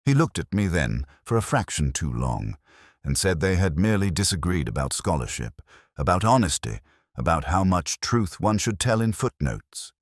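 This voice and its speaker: Guy acting as a brooding police inspector telling his case, super deep voice, swoopy pitch, solemn n measured, scripted audio drama. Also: sing-song, no echo, studio quality. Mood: brooding, reflective. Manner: measured, literary, solemn delivery. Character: brooding, introspective male British police inspector. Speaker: narrator. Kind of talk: scripted first-person detective story narration.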